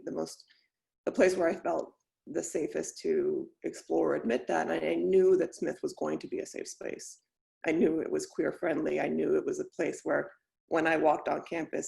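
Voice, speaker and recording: Guest from Venezuela: woman with a gravelly voice, lively, laughs easily. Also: sits low. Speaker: guest, lighting designer. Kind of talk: conversational interview.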